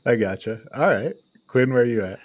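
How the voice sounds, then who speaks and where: Male podcast host, lower-middle pitch, relaxed panel review chat, recorded dry. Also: a little fast, breathy edge, dry recording, lo-fi sound.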